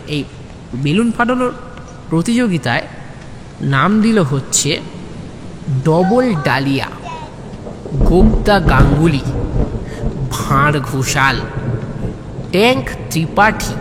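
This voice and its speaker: A man narrates a comic first-person story in an animated, colloquial way, with drawn-out emphasis and playful asides. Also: sits high. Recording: long hall reverb, background noise.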